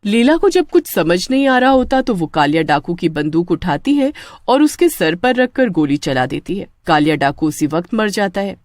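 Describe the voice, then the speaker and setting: Female storyteller: lively, swooping intonation, scripted narration, very dry close recording. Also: fairly low pitch, normal speed, hardly any pauses, dry recording.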